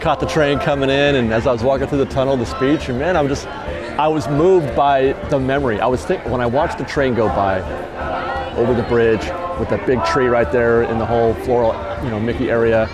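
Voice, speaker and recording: A man's voice: mid-range voice, fairly quick. Rambling, nostalgic man vlogging outdoors. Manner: reflective, rambling, sentimental. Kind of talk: casual walking vlog talk. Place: outdoor theme park at dusk. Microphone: lapel mic. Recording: outdoor crowd chatter behind.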